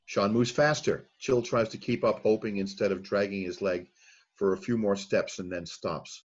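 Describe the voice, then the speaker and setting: Casual: low husky guy reading out scene directions, muffled dull recording. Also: normal speed. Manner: plain, steady reading of scene headings and action. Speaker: narrator of a screenplay reading. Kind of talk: reading stage directions aloud.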